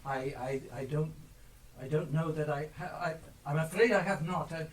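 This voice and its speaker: A man playing a shaken, penitent old miser in a live stage play, pleading in a halting, theatrical way. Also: a little high, close to an everyday voice. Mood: humble, halting. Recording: echoey stage, distant mic, faint noise.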